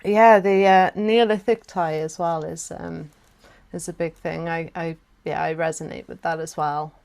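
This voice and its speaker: A woman with a South Welsh accent talks unhurriedly in a somewhat low voice, leading a live workshop over a video call. She keeps it chatty, with friendly asides and frequent laughs. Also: fairly even intonation, unhurried, creaky, a little mellow, clear, resonant tone. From South Wales. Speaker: chant workshop teacher. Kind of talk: casual live online workshop talk.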